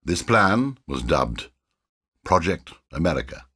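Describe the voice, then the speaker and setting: A man's voice: sits low, very animated, takes it slowly, bright, forceful tone, breathy edge. A man narrating a war game cutscene with an emphatic, formal, scripted delivery.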